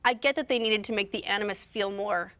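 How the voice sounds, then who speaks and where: A woman's voice: mid-range voice, fairly quick, a bit bright. A woman talking casually as a panelist, opinionated, with sarcastic exaggeration. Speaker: panelist on a video game movie show.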